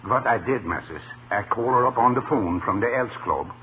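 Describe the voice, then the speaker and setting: A man's voice: fairly low pitch, lively intonation, brisk, lightly acted. An actor playing a genial Scandinavian working man in a 1940s radio comedy, griping in folksy, droll broken English. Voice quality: broken English in a comic dialect. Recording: very noisy, narrow-band old radio recording.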